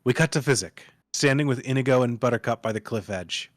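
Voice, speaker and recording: A man's voice: mid-range voice, brisk, dry recording. Man narrating a script, dry sound. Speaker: narrator reading stage directions. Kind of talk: scripted dramatic narration at a live table read.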